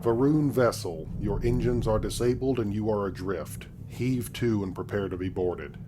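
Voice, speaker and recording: Loud ship noise surrounds a low male voice. A man acts out a brash space adventurer and captain from a sci-fi game, dry and wry in his delivery. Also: moderate pace, lightly acted. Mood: commanding. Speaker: gaming video creator performing his own voice-over. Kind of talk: in-character game roleplay, acted. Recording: loud engine and battle noise.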